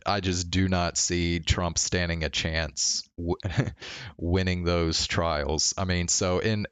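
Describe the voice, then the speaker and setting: Slow, low-voiced man rambling on stream. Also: smooth, no room sound. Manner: hesitant, halting, with frequent stammered restarts; casually profane. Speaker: livestreamer. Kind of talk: casual rambling stream chat.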